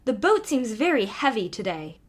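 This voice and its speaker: A woman's voice: a little high, big pitch swings, moderate pace. Woman voicing a puzzled, weary young prince. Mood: puzzled, tired. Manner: puzzled, tired.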